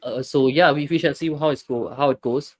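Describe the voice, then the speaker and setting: A man's voice: mid-range voice, a little fast. Man rambling through a football stream. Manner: hesitant, many fillers and restarts. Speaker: football talk stream host. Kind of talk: casual live-stream football chat, reading chat comments.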